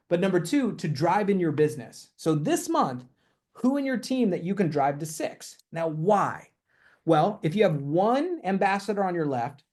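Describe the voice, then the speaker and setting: A man's voice: fairly high pitch, a little mellow. A man leading a motivational team call over a video link, upbeat and emphatic, hammering home his main points. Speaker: company leader on a team call.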